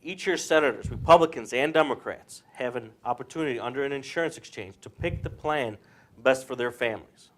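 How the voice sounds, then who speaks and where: A man's voice: medium pitch, a little fast, a bit bright, lightly acted. A young man playing an earnest senator, giving an emphatic, explanatory debate speech in readers theater. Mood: earnest, arguing.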